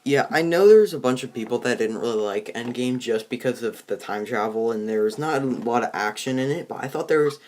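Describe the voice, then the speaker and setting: A man's voice: medium pitch, lively intonation, darkish tone. A young man hosting a casual film discussion, feeling his way through sentences and rephrasing as he goes. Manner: halting, rephrasing often. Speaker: host, video reviewer.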